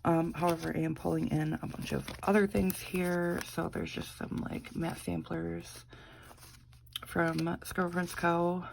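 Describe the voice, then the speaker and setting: A woman's voice: fairly low pitch, little pitch movement, measured pace, vocal fry, noisy background. A young woman, sick and congested, chatting casually with lots of fillers while doing a planner vlog. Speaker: planner vlogger. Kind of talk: casual chat.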